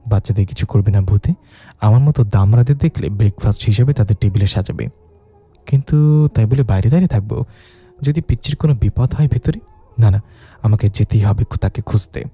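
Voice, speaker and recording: Storyteller guy as a scaredy young man muttering to himself, hesitant, dark husky tone. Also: lowish voice, brisk, dry recording. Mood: nervous dithering. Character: cowardly, dithering young man putting on bravado.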